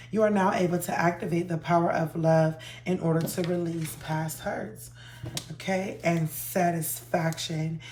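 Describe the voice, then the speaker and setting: Female tarot host, hoarse, easygoing and chatty; lowish voice, roomy sound with faint hiss. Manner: relaxed, chatty, with frequent ums. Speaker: tarot reader. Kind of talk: casual tarot reading to viewers.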